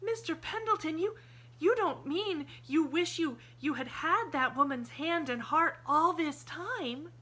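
A woman's voice: high voice, animated, a little slow, voice pushed up, exaggerated voice. A bubbly, eager little girl. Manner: bright, breathless and guileless. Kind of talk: audiobook character voice.

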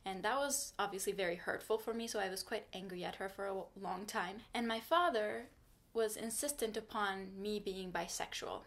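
A woman's voice: mid-range voice, moderate pace, fairly bright tone. A young woman from Turkey, a native Turkish speaker, talking frankly to the camera from her small bedroom in a casual vlog. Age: young adult. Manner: earnest, frank, advice-giving. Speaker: vlogger. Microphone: camera in front at desk distance.

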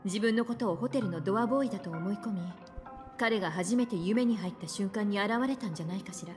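An actress playing a composed, thoughtful woman in a video game, reasoning calmly and with assurance. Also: mid-range voice, quick pace, close to an everyday voice. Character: composed, thoughtful, mature female traveling companion. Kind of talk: Japanese game voice acting. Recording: long reverb, clear music behind.

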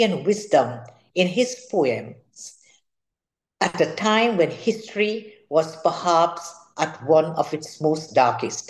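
Slow-reading older Trinidadian woman. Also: fairly low pitch, darkish tone, low-quality recording. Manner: measured, formal, careful reading. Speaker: author giving a literary talk. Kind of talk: prepared speech read aloud. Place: indoor room at home, seated at a table.

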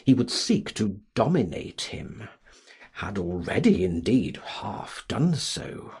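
A man reading a literary audiobook as a dramatic narrator, in a theatrical, expressive and deliberate manner. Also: lowish voice, gravelly, breathy edge.

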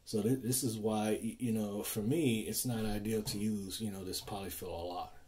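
A man giving a casual explainer as a loudspeaker designer, speaking informally and haltingly, often repeating himself. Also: fairly low pitch, fairly even intonation. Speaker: loudspeaker designer presenting own build.

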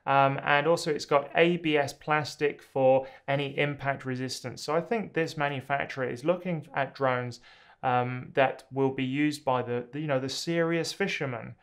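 A man in his thirties casually reviewing drones to camera from a small home studio, relaxed and enthusiastic, with frequent ums and uhs. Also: mid-range voice, normal speed, clear, resonant tone.

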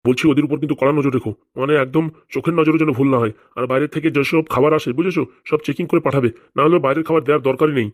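Woman doing a rapid-fire male cartoon prison officer. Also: low-pitched, steady tone, dry recording, studio quality, voice pushed down, natural voice. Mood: bossy. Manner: instructing, repetitive, hurried. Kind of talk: Bengali comedy cartoon dialogue.

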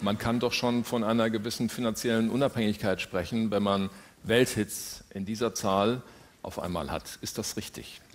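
A man in his fifties hosting a stage interview, chatty and joking, with quick follow-up questions into a handheld mic. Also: lowish voice, steady tone. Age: 50s. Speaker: TV talk show host. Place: indoor stage with audience. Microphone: handheld microphone.

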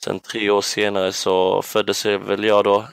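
A man's voice: lowish voice, completely flat delivery, gravelly, clear, resonant tone, dry recording. A man in his twenties from southern Sweden, reminiscing casually as an interview guest in a hesitant, thoughtful way. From Ängelholm, southern Sweden. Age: 20s. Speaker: podcast guest, basketball player.

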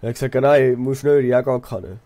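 Bright, dry-miked young Swiss German man. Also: mid-range voice, steady tone, quick pace, no echo. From Switzerland. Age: young adult. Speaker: let's player, apprentice builder. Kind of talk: casual chat while gaming.

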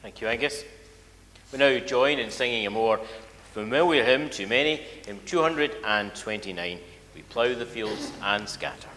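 An older man leads worship as a minister, speaking formally in a medium-pitched voice. The sound carries the long reverb of a big church hall. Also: normal speed, noisy background. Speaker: church minister leading the service. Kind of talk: formal service leading and prayer. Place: large church interior at a lectern.

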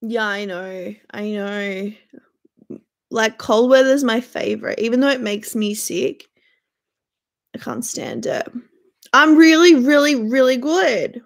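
A young Australian woman chats on a bedroom webcam livestream, greeting viewers by name in a bright, gushing way. Her voice sits high and carries a gravelly fry, slowed by a stuffy sore throat. She talks at an easy, drawn-out pace. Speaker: livestream host. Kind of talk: casual live-stream chat with viewers. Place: small bedroom indoors.

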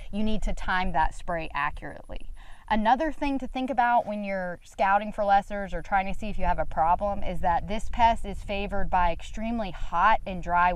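A woman in her thirties hosts an agricultural explainer from an open field. Her voice sits at a middle pitch as she explains and asks questions into a clip-on mic. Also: clear, resonant tone, low-quality recording. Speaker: host, agricultural technical service manager. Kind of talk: explanatory field interview for a company video. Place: outdoors in an open peanut field. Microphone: clip-on lavalier.

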